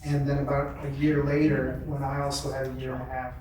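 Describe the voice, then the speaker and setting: A man's voice: medium pitch, monotone, a little fast, a little mellow. A man sharing personal experience casually and a little tentatively at a group meeting. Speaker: meeting participant. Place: convention meeting room, indoors. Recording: very echoey room, distant mic, faint noise.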